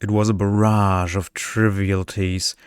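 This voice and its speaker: A man reading scripted fiction as an ASMR storyteller, with a measured, deliberate delivery. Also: low voice, little pitch movement, clear, resonant tone, no echo, clean studio sound. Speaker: story reader, ASMR narrator.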